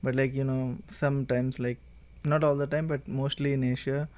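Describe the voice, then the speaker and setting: A man's voice: medium pitch, level tone, moderate pace, a little mellow. Easygoing young man. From India. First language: Telugu. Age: young adult. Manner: easygoing, heavy use of "like" fillers. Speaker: guest, married student. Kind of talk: casual podcast chat.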